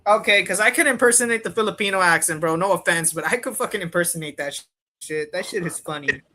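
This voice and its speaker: A man's voice: sits high, quick pace, sharp tone, a little hiss or hum. A man hosting a casual live stream chat, laid-back and swearing freely, calling people bro and dude.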